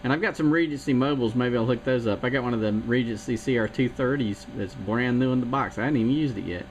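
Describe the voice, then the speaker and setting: A man's voice: medium pitch, fast talker, a little hiss or hum. A man from South Carolina chatting casually on CB radio, rambling with fillers about old radios and the weather. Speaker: CB radio operator.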